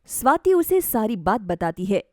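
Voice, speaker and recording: A woman narrator reading a scripted animated moral story. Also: mid-range voice, normal speed, no echo, studio quality.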